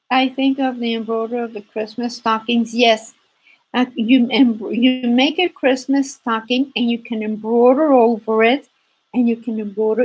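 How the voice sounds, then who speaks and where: A native Spanish-speaking woman with a Spanish accent, hosting a casual craft livestream in a halting, rambling way and often repeating phrases. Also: on the high side, a little mellow, breathy edge, poor audio.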